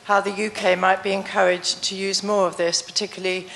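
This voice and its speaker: A woman in the audience asking a question in a measured way, pausing to find her words. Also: mid-range voice, level tone, vocal fry, fairly bright tone, slight background noise.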